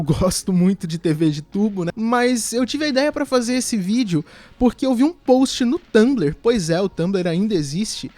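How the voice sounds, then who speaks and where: A man's voice: high-pitched, a little mellow, dry recording. A Brazilian man giving casual video essay commentary in a conversational, explanatory way.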